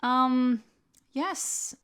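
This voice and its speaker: A woman host answering questions in a casual, opinionated and animated chat, swearing freely. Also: high voice, extremely slow, smooth. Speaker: host of an audio channel.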